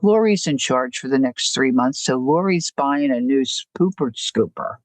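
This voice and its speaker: A woman's voice: extremely low pitch, animated, normal speed, no echo. An older woman hosting a livestream, chatting casually and rambling through a story. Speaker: livestream host. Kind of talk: casual chat.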